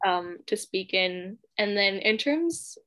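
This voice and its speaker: A woman's voice: medium pitch, lively intonation, slow, pushed and bright, smooth. A young woman from Hong Kong, a native Cantonese speaker, chatting as a guest in a casual interview, laughing often and using many fillers. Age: young adult.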